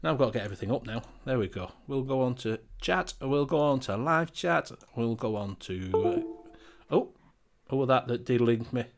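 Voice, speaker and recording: A man's voice: medium pitch, expressive, normal speed, noisy background. A man with a Northern English accent hosting a casual live-stream chat, swearing freely and reading out viewer names. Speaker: show host.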